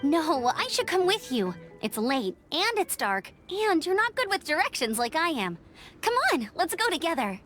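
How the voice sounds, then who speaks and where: Bubbly young female scout, high voice, animated intonation, eager, friendly, anime game. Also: normal speed, faint music behind. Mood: eager, insistent. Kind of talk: anime video game voice acting.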